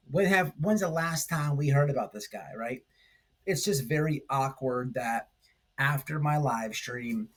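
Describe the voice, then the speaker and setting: A man hosting a commentary stream, talking casually and haltingly, repeating phrases for emphasis. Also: medium pitch. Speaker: host of a livestream commentary channel. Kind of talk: casual rambling drama commentary.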